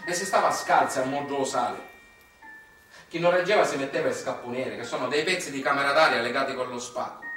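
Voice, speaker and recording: A man's voice: medium pitch, brisk, a bit bright, lightly acted. An actor playing a wry, impassioned working-class Sicilian father in a theatrical monologue, declamatory and colloquial, with a Sicilian accent. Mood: wry, storytelling. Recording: echoey distant stage recording with music.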